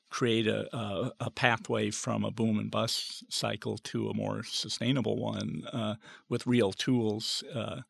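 Policy researcher interviewee: American male, very creaky voice, halting and reflective. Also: fairly low pitch, a bit bright. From western United States. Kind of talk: thoughtful interview answers.

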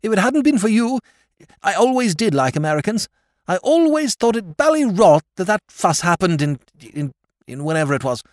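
Man reading as a high, gushing, excitable young lord; storybook aristocrat, dry close sound. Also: normal speed, dry recording. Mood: excited. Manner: gushing, exclamatory. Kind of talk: audiobook character voice.

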